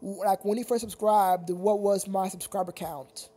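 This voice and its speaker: Casual livestream host, medium-pitched voice, halting and repetitive delivery, talking directly to chat. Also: steady tone, mellow. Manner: halting, repetitive, addresses viewers as sir.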